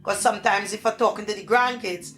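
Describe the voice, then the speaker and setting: A woman's voice: medium pitch, fairly quick, fairly bright tone, soft background music. An older woman from Trinidad with a thick Trinidadian accent, talking plainly and emphatically in a casual family conversation. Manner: plain-spoken, emphatic, broken Caribbean grammar. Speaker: grandmother guest.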